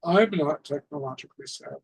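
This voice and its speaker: A man with a somewhat high, husky voice gives an informal talk as the host of an amateur radio net. His intonation stays quite flat, and he explains haltingly, often repeating himself as he goes. The sound is close and dry. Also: dry recording. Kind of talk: informal instructional presentation over a radio net.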